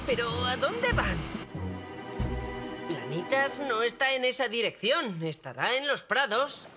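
A woman's voice: a little high, big pitch swings, slow pace. A woman voicing a plucky, reckless young boy in a children's cartoon dub, with music under the voice. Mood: determined, reassuring. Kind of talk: animated children's cartoon dubbing.

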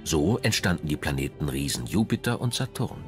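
Male science documentary narrator: low register, animated melody, measured and formal reading. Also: over music.

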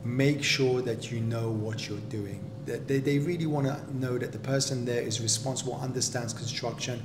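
A man, a property developer, explaining construction inspections in a practical, measured way as his wife interviews him. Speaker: property developer and general contractor. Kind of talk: explaining in a relaxed home interview. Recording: echoey room with steady rumble.

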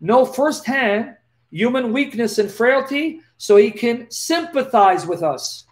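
A man in his forties with a lisp, talking to his webcam in a live question-and-answer stream and reading scripture aloud in an animated, preacherly way. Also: extremely high pitch, slow pace. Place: small dim indoor room. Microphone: laptop webcam, close.

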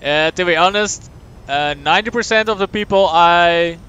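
A young man from the Netherlands with a Dutch accent, chatting casually as he streams a game, answering chat and thinking out loud. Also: sits high, moderate pace, muffled recording, slight background noise. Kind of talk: live gameplay commentary, reading chat.

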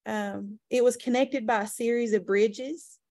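A woman's voice: on the high side, fairly even intonation, a little slow, mellow, no room sound. Woman, chatty, informal, dry close sound. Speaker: tourism director. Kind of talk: webinar presentation. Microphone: video call.

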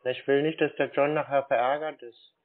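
A man in a casual phone conversation, talking in a naive, slow-witted, stalling way like a confused customer. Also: mid-range voice, fairly even intonation. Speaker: prank caller posing as a naive customer. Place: phone call. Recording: tinny band-limited phone line.

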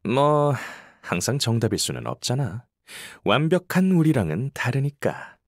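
A woman's voice: rumbling low, very animated, unhurried, darkish tone, no room sound, clean studio sound, exaggerated voice. A woman playing a cocky young military announcer in a game, informal and blunt, with teasing banter. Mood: nonchalant. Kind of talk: scripted game voice acting, battle commentary.

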